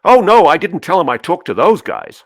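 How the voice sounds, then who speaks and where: A man's voice: fairly high pitch, huge pitch swings, moderate pace, dry recording, full character voice. A man playing a guarded senior admiral in a dramatic reading, recounting secrets in clipped phrases. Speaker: co-host reading a part. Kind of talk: dramatic cold reading of a memo transcript.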